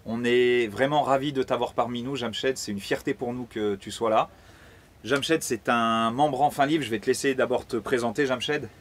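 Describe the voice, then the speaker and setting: A male host conducting a relaxed interview. He speaks in a friendly, encouraging way, with his questions loose and padded with fillers, in a voice of middling pitch. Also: moderate pace. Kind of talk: casual interview.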